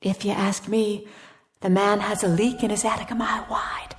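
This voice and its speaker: An actress in a radio drama playing a gossipy, wary working-class cleaner with a folksy, wry, colloquial manner. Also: female voice, mid-range voice, little pitch movement, normal speed, slight background noise, close to an everyday voice. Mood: wry, warning.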